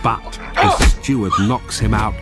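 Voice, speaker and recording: Male narrator, very low voice, unhurried, measured, scripted radio drama, music underneath. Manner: measured, descriptive. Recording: music clearly behind.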